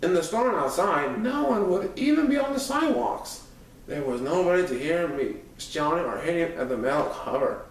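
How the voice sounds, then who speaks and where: A man narrates a story in the first person, reading from a script. His voice sits a little high and comes from some distance off the microphone, in a very echoey room. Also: animated, normal speed, a little hiss or hum. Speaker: audiobook narrator.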